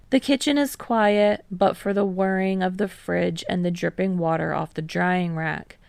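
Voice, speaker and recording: A woman's voice: fairly low pitch, vocal fry, a little mellow, dry recording. A woman reading scripted fiction as an audiobook narrator.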